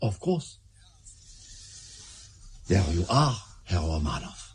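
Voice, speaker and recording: An actor playing a formal, deferential Swiss banker in a radio drama, unctuously polite and discreet. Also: male voice, low voice, big pitch swings, takes it slowly, slight background noise, full character voice.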